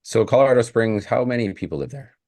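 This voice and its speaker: A man's voice: mid-range voice, lively intonation, fast talker, darkish tone. A man from Canada hosting a podcast, upbeat and effusive in casual interview chat. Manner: upbeat, effusive, laughs easily. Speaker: podcast host.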